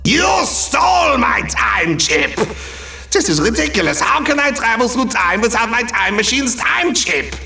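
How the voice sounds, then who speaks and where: An actor playing a grandiose, melodramatic time-traveling villain in a comedic video game. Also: male voice, very high voice, sing-song, a little slow, gravelly, sharp tone, reverberant, shouting, faint music behind, exaggerated voice. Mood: indignant. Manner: pompous, melodramatic, swinging into outbursts.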